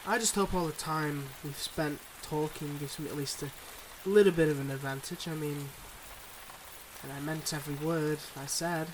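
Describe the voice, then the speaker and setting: A man's voice: on the high side, steady tone, moderate pace, a little hiss or hum, lightly acted, poor audio. A man playing a teasing, self-deprecating young boyfriend in an intimate roleplay audio drama, speaking straight to the listener with playful banter.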